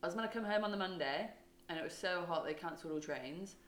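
Casting note: low-voiced woman co-host, easy chatty storyteller, echoey room. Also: quick pace, fairly bright tone. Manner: chatty, laughs often, tells rambling stories. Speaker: podcast co-host. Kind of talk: casual chat.

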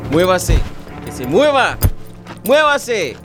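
An actor playing a bullying soldier barking curt orders in a radio drama, with loud music and sound behind him. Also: male voice, high voice, sing-song, takes it slowly, heavily acted. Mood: impatient, aggressive. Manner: curt, commanding.

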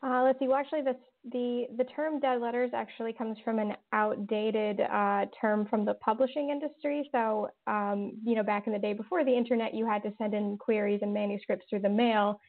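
A woman's voice: on the high side, steady tone, fairly quick, hardly any pauses. A woman, a horror writer and interview guest, answering thoughtfully with lots of fillers and restarts. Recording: tinny phone-like line.

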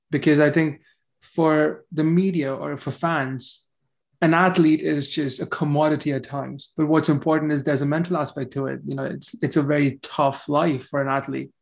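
Gravelly, higher-voiced male podcast host. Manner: long, thoughtful questions. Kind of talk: interview questions.

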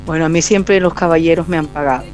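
A woman from Colombia answering radio interview questions, laughing often and replying warmly. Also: low-pitched, fairly even intonation, quick pace, faint music behind. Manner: giggly, laughs often, warm replies. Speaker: singer guest.

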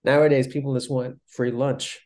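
A man's voice: medium pitch, little pitch movement, moderate pace, a little mellow. Rambling young man telling stories. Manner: rambling storyteller, many "I was like" restarts. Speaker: podcast co-host. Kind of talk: casual chat.

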